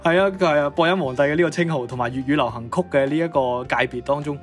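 Male Cantonese co-host, fairly high pitch, reactive and admiring, casual delivery over a music bed. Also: quick pace. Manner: responsive, exclaiming in admiration. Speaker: radio co-host. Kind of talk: casual podcast banter.

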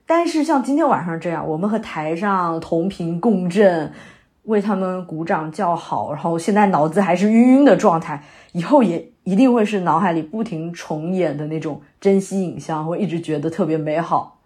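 A woman's voice: fairly low pitch, moderate pace, darkish tone, natural voice. A woman acting a young, sentimental, theatre-loving new graduate in a naturalistic audio drama, speaking in a hesitant, conversational way. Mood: warm, reflective. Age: young adult. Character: young woman fresh out of university, wandering, sentimental theatre lover.

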